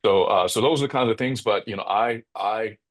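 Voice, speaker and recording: A middle-aged man with a bass voice, speaking reflectively as an interview guest, often checking in with "right?" and restarting his phrases. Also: a little fast. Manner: thoughtful, frequent "right?" tags and restarts. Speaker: podcast guest.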